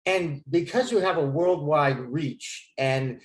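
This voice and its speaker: A man's voice: on the high side, takes it slowly. An American man in his fifties hosting an interview, asking chatty, rambling questions. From United States. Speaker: host. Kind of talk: conversational interview.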